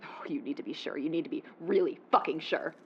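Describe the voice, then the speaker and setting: A woman acting as a haunted, defiant neuroscientist confessing secrets in an audio drama, in a tense, hushed, breathy delivery. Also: low-pitched, fast talker, muffled recording. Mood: reluctant, edgy.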